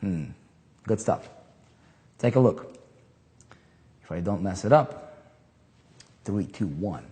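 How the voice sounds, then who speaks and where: A man's voice: sits low, expressive, slow, pauses often, dark tone, a little hiss or hum. Rambling salesy man, echoing hall. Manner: rambling, full of fillers and restarts; hyped, salesy. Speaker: affiliate marketing group host. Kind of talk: casual sales pitch to members.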